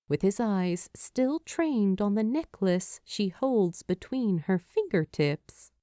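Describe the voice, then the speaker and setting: A woman reading a story aloud as an expressive scripted narration. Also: medium pitch, no echo, clean studio sound. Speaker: fan fiction narrator.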